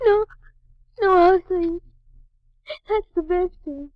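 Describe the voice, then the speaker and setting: An actress playing a fragile, plaintive young trapeze performer in a 1940s radio melodrama, with a childlike, languid manner. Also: female voice, extremely high pitch, slow, no echo. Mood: tearful, dazed. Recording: dull 1940s radio recording.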